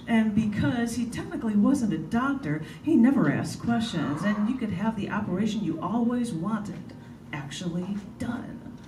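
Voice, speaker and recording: Female author at a live bookstore reading, deliberate pacing with pauses, distant mic, clearly noisy background. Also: mid-range voice, expressive, reverberant. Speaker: writer reading own prose and poems. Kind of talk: live literary reading of prose and poetry. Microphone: camera mic at a distance.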